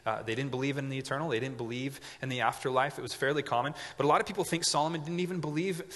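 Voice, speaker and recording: A man's voice: medium pitch, fairly even intonation, racing, a bit bright. Young man preaching in a reverberant hall. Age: 20s. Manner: conversational, joking asides, frequent "okay?" check-ins. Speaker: pastor. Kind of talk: sermon.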